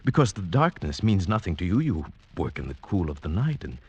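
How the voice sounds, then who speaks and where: An actor playing a gentle, lovestruck outsider scorned as a fool, speaking softly, tenderly and haltingly in 1940s radio drama style. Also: male voice, sits low, big pitch swings, breathy edge, lo-fi sound, exaggerated voice. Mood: earnest, loving. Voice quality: soft. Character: lovestruck sighted outsider, gentle man scorned as village idiot. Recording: old radio drama with music.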